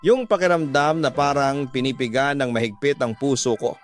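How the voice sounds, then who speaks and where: A man's voice: medium pitch, normal speed. A man with a Filipino accent giving scripted first-person narration for a radio drama. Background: background music.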